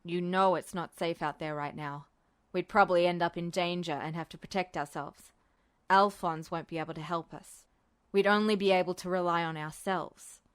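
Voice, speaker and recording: A woman voicing a self-assured adult male army colonel in measured, coaxing dialogue for a fan fiction audiobook. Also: lowish voice, steady tone, clear, resonant tone, pitched below the performer's own voice, close to an everyday voice. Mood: coaxing, serious.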